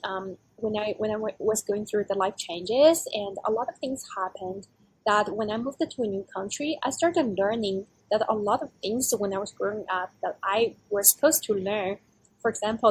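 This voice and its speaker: A young woman from China, speaking English as a second language, answers interview questions in a casual, filler-heavy way. Her voice sits at a medium pitch. Faint noise can be heard in the background. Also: low-quality recording. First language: Chinese. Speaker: startup founder, guest.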